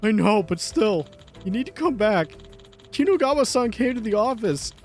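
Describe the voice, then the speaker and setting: A man's voice: high voice, very animated, moderate pace, soft background music. A man voicing an anxious male office colleague who pleads earnestly. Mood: pleading.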